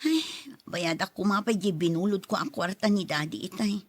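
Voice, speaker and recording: A woman's voice: medium pitch, moderate pace, breathy edge, lightly acted. A woman playing a worried, long-suffering wife and mother in a radio drama, with an Ilocano accent. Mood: exasperated.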